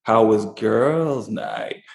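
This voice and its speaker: Gravelly, evasive boyfriend. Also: male voice, lowish voice, very slow, echoey room, full character voice. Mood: casual, evasive. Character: evasive, defensive boyfriend reluctant to commit. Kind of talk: audio drama acting.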